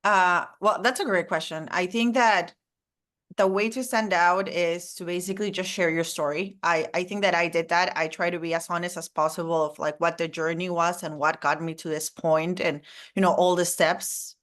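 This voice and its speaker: Lower-pitched woman on a panel. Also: steady tone, normal speed, a bit bright. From Lima, Peru. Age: 30s. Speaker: panelist, surgery resident. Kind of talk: conversational panel introduction and advice.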